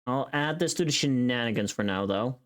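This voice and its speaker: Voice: medium pitch, expressive, a little fast. A livestreamer chatting casually while working, easily distracted and prone to exclamations.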